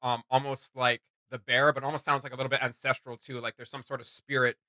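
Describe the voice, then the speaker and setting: Very bright-voiced man giving casual reactions. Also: mid-range voice, level tone, no room sound. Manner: halting, searching for words, repeats himself. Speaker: reaction video host.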